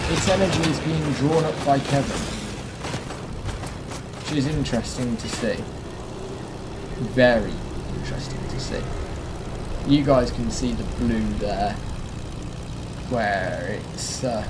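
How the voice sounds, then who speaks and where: Male gaming host, mid-pitched, casual commentary, clear vehicle and game noise underneath. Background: game ambience and vehicle noise.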